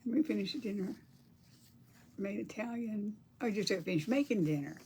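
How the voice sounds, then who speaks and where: A woman's voice: mid-range voice, animated, normal speed, a little hiss or hum. An older woman, chatting on a crafting livestream, reading viewer comments and murmuring to herself as she paints. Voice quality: soft-spoken. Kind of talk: casual livestream chat while painting.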